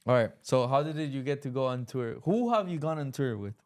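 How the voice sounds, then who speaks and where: Male podcast host, mid pitch, smooth clear tone, casual interviewer. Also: lively intonation, a little fast, darkish tone. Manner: asks short questions, casual slang. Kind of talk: casual chat.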